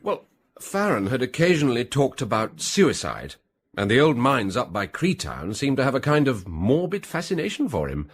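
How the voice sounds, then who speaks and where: An actor playing an evasive, defensive British gentleman suspect in a radio detective drama, hesitant and drily understated. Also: mid-range voice, sing-song, normal speed, breathy edge. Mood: recounting, earnest.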